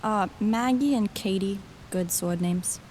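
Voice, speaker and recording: A woman's voice: medium pitch, unhurried, darkish tone, a little hiss or hum, lightly acted. A woman playing a brash, irreverent thief turned reluctant queen in an audio drama, talking bluntly and casually. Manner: casual, blunt, sardonic modern talk.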